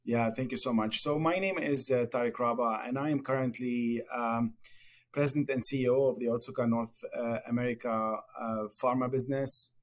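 A middle-aged man from Lebanon with a Lebanese accent, answering as a guest on a podcast interview, speaking haltingly with many fillers and restarts. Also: medium pitch, fairly even intonation. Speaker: pharmaceutical company executive, guest.

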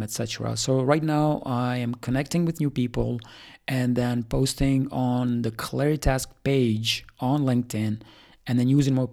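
A man talks through a casual update in a rambling way, with plenty of ums and restarts. His voice is low in brightness, mellow and dark, with a gravelly fry running through it. He sounds like a startup founder thinking out loud. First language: Albanian. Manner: rambling, with many fillers and restarts.

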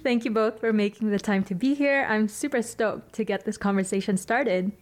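A woman's voice: mid-range voice, moderate pace. A young woman speaking as a podcast host, introducing and interviewing in an articulate, composed way.

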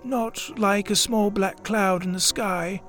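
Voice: medium pitch, steady tone, faint music behind. A performer as an earnest, solemn little storybook bear. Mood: anxious, musing. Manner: deliberate, solemn, gently anxious. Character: earnest, slow-witted, self-important little toy bear. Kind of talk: children's storybook readers theatre.

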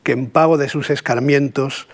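Middle-aged Catalan poet, mid-pitched, lecturing slowly, pauses often, asks rhetorical questions. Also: male voice, fairly even intonation. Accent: Catalan Spanish. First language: Spanish. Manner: measured, reflective, with frequent pauses and rhetorical "¿no?". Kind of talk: lecture with poetry reading.

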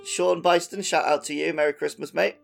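Guy podcast host, casual chat, bit of gravelly fry, faint guitar music behind. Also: a little high, steady tone, fairly quick.

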